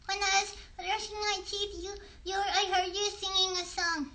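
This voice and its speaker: A woman voicing a chatty, eager little girl puppet in a children's show, speaking in halting, childlike bursts with repeated words. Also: extremely high pitch, level tone, sharp tone, voice pushed up, heavily acted. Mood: thoughtful, chatty.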